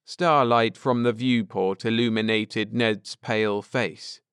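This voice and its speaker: A man narrating a scripted audiobook story for adults at bedtime, in a measured, dramatic way. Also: fairly low pitch, fairly even intonation, a bit bright, smooth, no room sound, studio quality.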